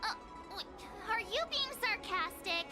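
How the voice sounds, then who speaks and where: A woman's voice: very high-pitched, expressive, a little slow. A woman voicing a perky, outspoken and caring teenage girl in a game, with a bubbly, informal way of talking. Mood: eager. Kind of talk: English game voice acting. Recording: game audio with background music.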